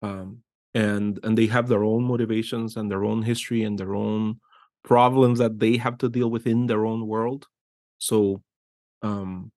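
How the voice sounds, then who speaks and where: A man's voice: mid-range voice, a little mellow, no echo. A middle-aged man from Guatemala talks eagerly in a casual interview. He often restarts his sentences and tags them with "right?". His voice sits in a middle range and sounds dry and close to the mic. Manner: enthusiastic, rambling with frequent restarts and "right?" tags. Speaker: art director, interview guest.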